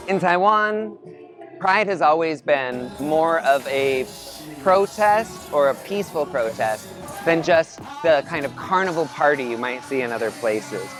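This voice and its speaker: A man narrating a documentary voice-over in a measured, explanatory way. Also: fairly high pitch, animated, music in the background.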